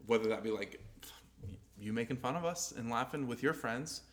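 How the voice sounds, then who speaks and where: A young man chatting on his own podcast, loose and rambling, dropping swear words and laughing easily. His voice sits at an ordinary middle pitch for a man. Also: animated, normal speed, fairly bright tone. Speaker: podcast co-host. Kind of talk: casual chat.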